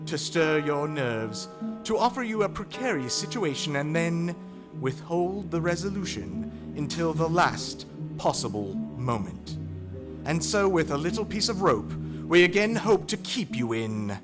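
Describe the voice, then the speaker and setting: A man's voice: fairly high pitch, very animated, music in the background. Man playing a mysterious, ominous radio horror narrator. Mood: ominous, suspenseful. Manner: deliberate, ominous, formal. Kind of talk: 1940s radio acting.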